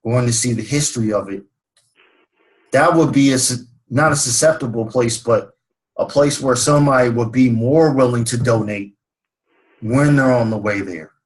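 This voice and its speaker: A man's voice: medium pitch, moderate pace, poor audio. A young man leading a video call meeting as team leader, casual and filler-heavy. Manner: casual, many fillers and agreeing murmurs.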